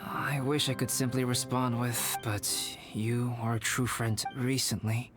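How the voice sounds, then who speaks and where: An actor voicing a calm, reserved, scholarly young alchemist in a video game, with measured, formal and thoughtful speech. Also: male voice, medium pitch, music in the background, lightly acted. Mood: pensive, polite.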